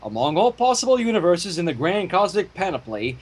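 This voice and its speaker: High-pitched, husky man narrating dramatically. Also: sing-song, fairly quick. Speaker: fan fiction reader. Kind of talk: dramatic scripted story narration.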